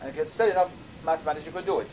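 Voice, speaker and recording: An older man, a native Persian speaker from Iran, giving a measured university lecture with thoughtful pauses. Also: a little high, steady tone. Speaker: professor. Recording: lecture recording with audible background noise.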